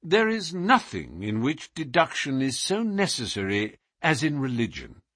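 Storybook gentleman sleuth, voiced by a man. Husky, precise, philosophical, self-assured, highly melodic delivery. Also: wildly swooping pitch, measured pace, no echo, clean studio sound, heavily acted. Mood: musing, rapt. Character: brilliant, musing, self-assured gentleman detective.

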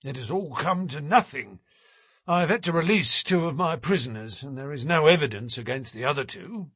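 A man's voice: on the high side, expressive, normal speed, fairly bright tone, breathy edge, dry recording, studio quality. A man doing a bluff, hearty police detective.

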